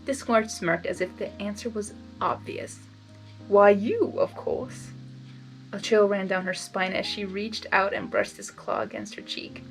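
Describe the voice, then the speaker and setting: A woman reading fan fiction aloud in expressive storybook narration, with informal asides. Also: mid-range voice, normal speed, hardly any pauses, soft background music, rough recording.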